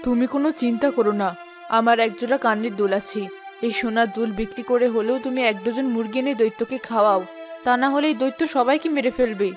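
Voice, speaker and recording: A woman's voice: a little high, steady tone, fast talker, lightly acted. A woman playing an anxious village wife in a cartoon fairy tale, earnest and urgent. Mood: worried. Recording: music bed under the voice.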